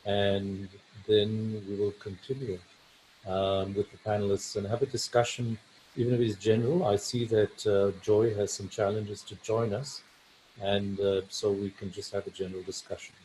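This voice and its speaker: A low-voiced man hosts a video call, pausing often with many fillers as he moves things along. A faint hiss of background noise sits under his voice. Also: flat delivery, a little mellow, poor audio. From South Africa. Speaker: webinar host, family physician. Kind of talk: casual hosting of a video call.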